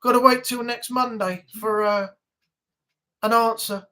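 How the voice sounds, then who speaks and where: Woman, livestream host, casual rambling chat, slightly high voice. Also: steady tone, measured pace, sharp tone. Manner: chatty, rambling, with fillers. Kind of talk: casual live chat to viewers.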